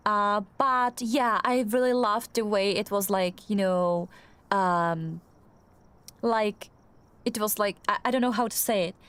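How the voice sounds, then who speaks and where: A woman's voice: medium pitch, unhurried, fairly bright tone, clean tone. A young woman with a Czech accent chatting casually while streaming a game, hesitant and full of fillers and restarts. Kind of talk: casual chat while gaming.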